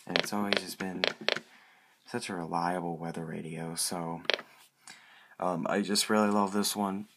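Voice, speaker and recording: A man's voice: low-pitched, fairly even intonation, a little slow, noisy background. A man casually showing off a collection as a hobbyist, rambling and repeating short phrases of praise. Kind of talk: casual product show-and-tell.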